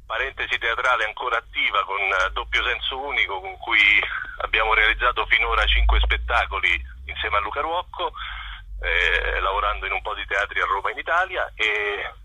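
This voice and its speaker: A man being interviewed as a performer, talking casually and reflectively, often pausing to search for his words. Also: on the low side, bright, forceful tone, husky, no room sound, poor audio. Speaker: interview guest, singer-songwriter and performer.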